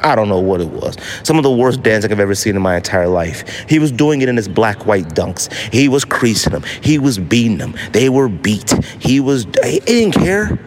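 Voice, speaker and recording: A man's voice: fairly low pitch, big pitch swings, moderate pace, hardly any pauses, a little hiss or hum. An American man hosting a casual solo podcast, talking in a slangy, emphatic way and repeating phrases for effect. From United States. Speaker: podcast host.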